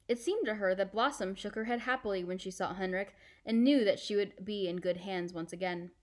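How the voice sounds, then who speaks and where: A woman reading a children's novel aloud in an expressive storytelling style. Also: medium pitch. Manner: acts out dialogue with shifting character voices. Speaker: storybook reader.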